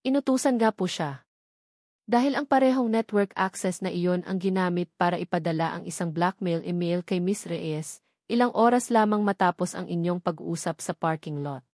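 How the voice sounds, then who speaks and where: A woman's voice: fairly low pitch, normal speed, darkish tone, no room sound, studio quality. A woman voicing a polite, steadfast male police officer in an audio drama, respectful but firm. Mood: firm, accusing.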